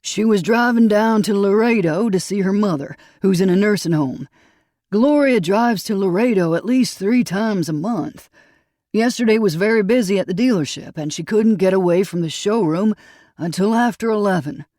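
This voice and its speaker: A grieving gray-haired husband with a thick Texas drawl, as an audiobook narrator puts him on, his words pained and halting. The voice sits in a middle register, recorded close and dry with no room sound. Storybook crime drama. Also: mid-range voice, a little mellow, no echo, pitched above the performer's own voice, lightly acted. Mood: distraught. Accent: Texas drawl (as played).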